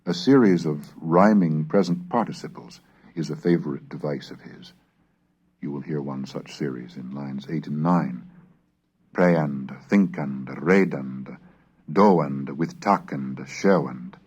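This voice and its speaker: A man reading scripted scholarly material aloud in a deliberate, declamatory manner, like a lecturer on a recorded course. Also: very deep voice, a little mellow, slightly rough. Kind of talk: scripted narration and dramatic reading of Middle English texts. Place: recorded narration on cassette. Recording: dull, lo-fi 1970s cassette recording.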